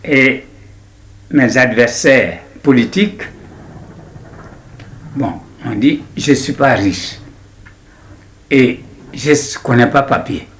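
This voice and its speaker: A man in his nineties with an Ivorian accent, recounting his memories in an interview in a deliberate, halting way with repetitions. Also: mid-range voice, fairly bright tone, a little hiss or hum. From Ivory Coast. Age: 90s. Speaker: interviewee, writer. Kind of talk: personal recollection in an interview.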